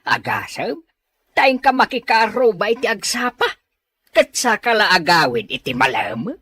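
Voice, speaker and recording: An actor with a Filipino accent and a husky voice, playing a nagging, disapproving village husband in a radio drama. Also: male voice, high-pitched, very animated, unhurried, pushed and bright, heavily acted. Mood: scolding.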